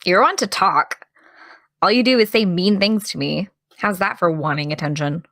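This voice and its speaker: A woman's voice: fairly low pitch, moderate pace, fairly bright tone, no echo, clean studio sound. A woman playing a needling, indignant young American woman in an audio drama, petulant and provoking. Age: young adult.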